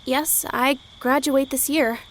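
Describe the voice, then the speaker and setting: A woman acting a brave, polite but defiant high school girl in a period audio drama, with folksy speech. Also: steady tone, takes it slowly. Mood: wary, defiant. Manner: folksy dialect grammar, plucky. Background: crickets and night insects.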